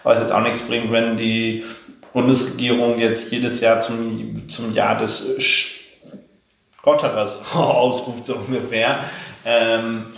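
A man answering interview questions in an earnest, thoughtful way, with a stutter that makes him repeat words and break off sounds. His voice is gravelly and sits at a middle pitch. The recording is very echoey, as if made in a bare room. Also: flat delivery, takes it slowly. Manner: thoughtful, earnest, with many fillers. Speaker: guest.

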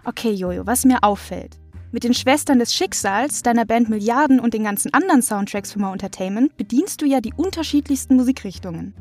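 Host: young woman, fairly high voice, playful informal interviewing style, light music bed. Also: expressive, fairly quick, darkish tone. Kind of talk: casual scripted interview questions.

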